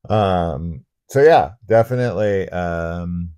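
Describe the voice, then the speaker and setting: A man's voice: low-pitched, takes it slowly, warm tone, clear, resonant tone, no echo, clean studio sound. A man co-hosting a horror review show, talking casually and conversationally about films. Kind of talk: casual conversational film discussion.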